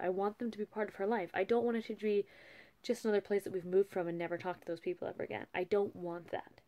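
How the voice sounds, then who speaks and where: A woman's voice: medium pitch, darkish tone. A woman in her thirties talking candidly to a webcam from a small wood-paneled room at night, with frequent restarts. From English-speaking country. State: emotional after a move. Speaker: vlogger. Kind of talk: casual chat to camera.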